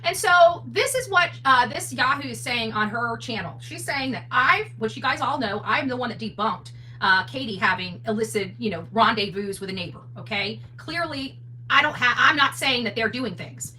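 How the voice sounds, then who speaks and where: A woman's voice: fairly high pitch, lively intonation, normal speed, bright, forceful tone. A woman hosting a livestream commentary, speaking bluntly and combatively to her viewers with emphatic rhetorical questions. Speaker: livestream host, advocate commentator. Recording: distant mic, faint hum noise.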